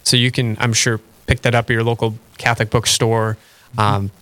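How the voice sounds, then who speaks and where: A man hosting a radio talk show speaks with a bright, ringing tone. He chats in a loose, friendly way and laughs easily at his own jokes. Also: mid-range voice, little pitch movement, a little fast, low-quality recording. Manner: chatty, self-deprecating, laughs easily. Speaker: radio host. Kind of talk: casual faith radio chat.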